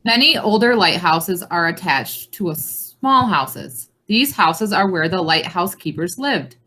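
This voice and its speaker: Lower-pitched woman reading aloud to kids. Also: animated, a little slow, pushed and bright. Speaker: read-along presenter. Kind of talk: reading a children's nonfiction book aloud.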